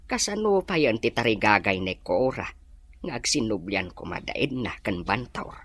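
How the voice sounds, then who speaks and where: Casting: man to play an old, troubled Ilocano village dad, raspy and animated, questioning in manner. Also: on the low side, moderate pace. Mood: ashamed, troubled. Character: worried old village father. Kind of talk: Filipino Ilocano audio drama acting.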